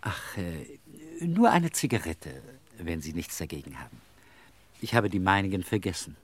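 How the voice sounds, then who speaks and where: An actor playing a polite but intrusive stranger in a radio play, husky and courteously insinuating. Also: male voice, low-pitched, sing-song, normal speed. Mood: ingratiating. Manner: courteous, insinuating, hesitant openings. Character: intrusive male stranger at night station, polite, slyly chatty. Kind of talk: German radio play acting.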